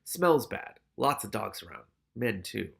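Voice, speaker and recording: A man's voice: on the low side, big pitch swings, unhurried, creaky, fairly bright tone, heavily acted. A man voicing an earnest, deferential male rat underling in a storybook reading. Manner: deferential, eager.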